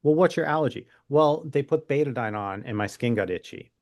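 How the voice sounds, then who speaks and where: A man's voice: medium pitch, expressive, brisk, dry recording, clean studio sound. A man speaking as a physician guest expert in an interview, explaining in an informal, conversational way with wry humor.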